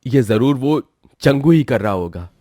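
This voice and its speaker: A man's voice: medium pitch, animated, unhurried, mellow, dry recording, clean studio sound. A man voicing an earnest village dhaba owner. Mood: concerned. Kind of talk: cartoon voice acting.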